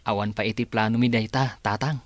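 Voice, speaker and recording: A man's voice: fairly low pitch, fairly even intonation, fairly quick, dry recording, close to an everyday voice. An actor with an Ilocano accent playing a hesitant, respectful young man in love in a radio drama. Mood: earnest.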